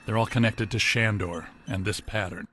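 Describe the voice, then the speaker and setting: An actor voicing a brainy, literal-minded male inventor in a video game, precise, technical and deadpan, with an American accent. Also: lowish voice, normal speed, slight background noise. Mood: dry, analytical. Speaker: scientist inventor character. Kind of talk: acted video game dialogue. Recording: music behind the dialogue.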